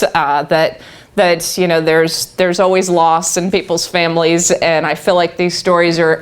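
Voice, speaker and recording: A middle-aged woman telling a group her own story, laughing often and restarting her sentences. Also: lowish voice. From Texas. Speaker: guest speaker. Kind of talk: personal talk to a live group.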